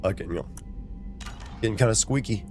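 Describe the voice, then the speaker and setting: A man streaming a video game, chatting casually to his viewers with a hoarse voice. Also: fairly low pitch, brisk, soft background music. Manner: relaxed, rambling.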